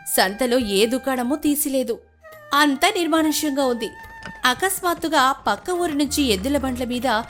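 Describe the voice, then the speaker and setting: A woman reading a scripted moral story as its narrator. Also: sits high.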